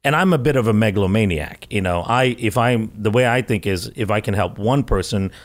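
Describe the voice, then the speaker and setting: Enthusiastic low-voiced male scientist guest. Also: a little fast. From Malaysia and India. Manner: enthusiastic, rambling, laughs often. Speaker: guest microbiologist. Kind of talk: conversational interview answers.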